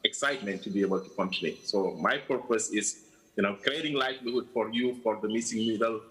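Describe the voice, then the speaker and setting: Male guest, low but bright-toned, earnest and reflective. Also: quick pace, rough recording. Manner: earnest, reflective, frequent "you know". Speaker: guest from a changemaker organisation. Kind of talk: interview answers.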